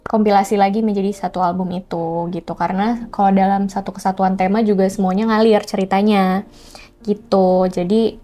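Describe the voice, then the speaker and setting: A woman's voice: mid-range voice, steady tone, fairly quick, warm tone. A woman chats casually and expressively as an interview guest, mixing in English phrases. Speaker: singer-songwriter guest.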